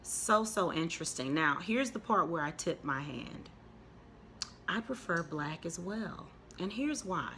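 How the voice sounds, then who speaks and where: A woman host giving reflective commentary in a thoughtful, measured way. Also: on the low side, lively intonation, sharp tone, a little hiss or hum. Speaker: host and interviewer.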